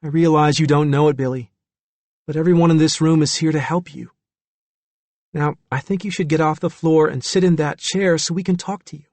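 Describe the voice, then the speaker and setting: Man voicing a gentle, firm woman doctor, raised pitch. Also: fairly even intonation, dry recording, lightly acted. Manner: reassuring, measured, in control.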